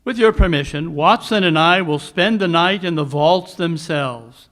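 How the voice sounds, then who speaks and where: A man playing a cool, commanding detective in a live 1940s-style radio mystery, speaking formally and deliberately with dry superiority. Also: a little high, fairly bright tone.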